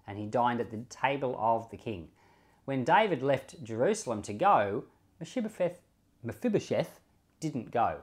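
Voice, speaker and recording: A man reading scripture and giving informal devotional commentary in a measured, deliberate way, leaning on key words. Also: medium pitch, darkish tone. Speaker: Bible reader and pastor giving commentary.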